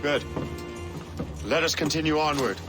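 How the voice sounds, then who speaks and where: A man's voice: on the high side, unhurried, music in the background, natural voice. A man playing a weary, principled sea captain in a video game, dry, terse and understated. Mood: resolute. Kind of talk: video game cutscene acting. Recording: sea and ship noise behind.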